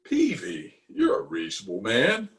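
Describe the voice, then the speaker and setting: A man's voice: on the high side, huge pitch swings, normal speed, creaky, fairly bright tone, reverberant, heavily acted. A man playing a pompous, easily exasperated middle-aged official in an old-time radio comedy, recorded at home over a video call. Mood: grumpy. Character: blustery, exasperated middle-aged male water commissioner, pompous and opinionated.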